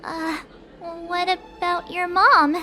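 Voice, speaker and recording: A woman voicing a perky, outspoken teenage girl traveler in a video game, chatty, candid and expressive. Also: very high voice, slow pace, faint music behind, full character voice. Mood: puzzled, wry.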